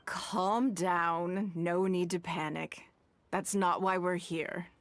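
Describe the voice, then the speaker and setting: Game lead: shrewd, rich lady, self-possessed and refined, fairly low register, some noise behind. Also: steady tone, slow. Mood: calm, amused. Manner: composed, assured, refined. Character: poised, shrewd wealthy noblewoman, calm and self-assured. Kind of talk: video game character acting.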